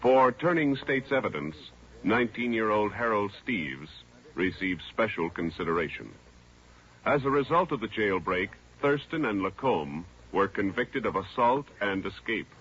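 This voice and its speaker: A man reading formal 1940s radio narration as an announcer, measured, official and solemn. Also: on the low side, lively intonation, fairly bright tone. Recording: dull vintage radio recording.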